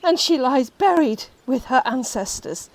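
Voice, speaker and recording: A grieving woman of a wronged noble house in a Shakespearean radio play. Her voice sits very high and she speaks slowly, with anguished, accusing, heightened delivery. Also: lively intonation. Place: home recording.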